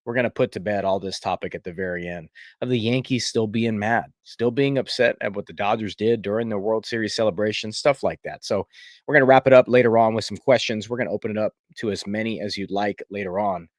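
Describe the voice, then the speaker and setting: A man's voice: on the low side, fast, no room sound, studio quality. A man with a stuffy, congested voice hosting a casual live sports chat in a rambling, filler-heavy way. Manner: rambling, chatty, many fillers. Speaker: podcast host.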